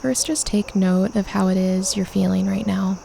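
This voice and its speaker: A woman leading a guided meditation, calmly and gently instructing with unhurried pauses. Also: mid-range voice, vocal fry, a little hiss or hum.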